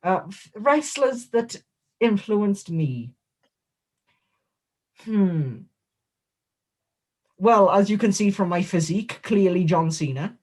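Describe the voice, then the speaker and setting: A man giving a comedic, in-character interview as a guest wrestler, deadpan, boastful and absurd. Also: high voice, slow pace, pauses often.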